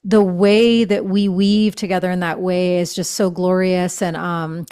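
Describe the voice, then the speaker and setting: A woman's voice: lowish voice, level tone, a little slow, mellow, clear, resonant tone. Middle-aged woman guest, gushy spiritual talk, lots of "you know" and "um", easygoing podcast chat. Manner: gushing, spiritual phrasing, many fillers. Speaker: podcast guest, shaman and author. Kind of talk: casual interview chat.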